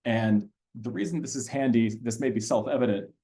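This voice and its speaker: A man's voice: medium pitch, brisk, warm tone. A man who grew up in Austria and speaks German natively, presenting a reading platform to teachers in a conversational webinar, with many fillers and restarts. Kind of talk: webinar walkthrough with screen sharing.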